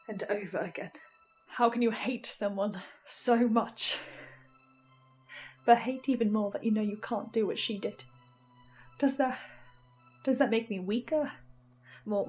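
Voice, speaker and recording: A woman playing a troubled, self-doubting broadcaster, delivering an intense, halting dramatic monologue in which she keeps correcting herself. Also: mid-range voice, natural voice. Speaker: broadcaster reacting to a fiction podcast.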